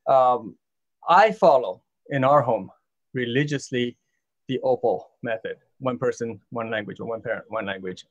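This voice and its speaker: A man's voice: medium pitch, moderate pace, lo-fi sound. A man who is a parent, moderating a live panel discussion and putting open questions to the other panelists. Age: adult parent.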